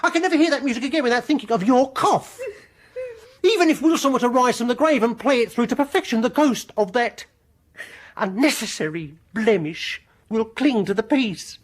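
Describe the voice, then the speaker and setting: An obsessive, menacing music lover, wildly swooping. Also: male voice, very high-pitched, lively intonation, moderate pace. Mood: manic, furious. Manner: pedantic, mock-reasonable, condescending. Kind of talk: radio horror drama acting.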